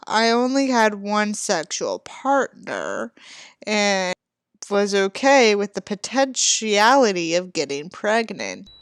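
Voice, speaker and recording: A woman's voice: mid-range voice, big pitch swings, slow pace, clean tone, no room sound. A woman reading a novel dramatically as a self-absorbed, brooding young narrator, earnest and melodramatic.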